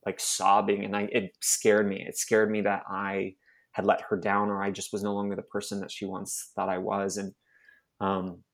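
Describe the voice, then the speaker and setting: A young adult man from Arizona, a nonprofit staff member, telling his personal story in an interview, halting and emotional with many restarts. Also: on the low side, completely flat delivery.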